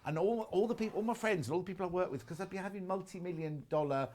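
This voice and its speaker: A British man hosting a live sewing shopping show, chatting in a quick, jokey way. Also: high-pitched, darkish tone, a little hiss or hum. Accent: British English. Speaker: shopping channel presenter. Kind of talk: casual chat while sewing on air.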